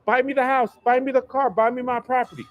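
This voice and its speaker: A man's voice: extremely high pitch, steady tone, quick pace, dull audio, pitched above the performer's own voice. A man putting on a pushy cash-waving buyer. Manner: insistent, repetitive. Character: pushy male cash buyer pressing money.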